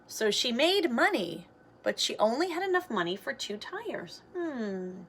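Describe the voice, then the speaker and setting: Bright, animated woman reading a storybook aloud. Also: on the high side, measured pace, slightly rough. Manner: expressive, explaining simply as if to children. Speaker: teacher reading a picture book aloud. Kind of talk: read-aloud storytelling with explanatory asides.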